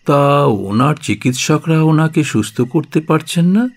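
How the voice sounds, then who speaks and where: Concerned monk, period audio drama, unhurried delivery, animated pitch movement, formal, inquisitive. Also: male voice. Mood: inquiring. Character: concerned Buddhist monk questioning a revered healer. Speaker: actor in an audio story. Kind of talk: dramatic radio play dialogue in period setting.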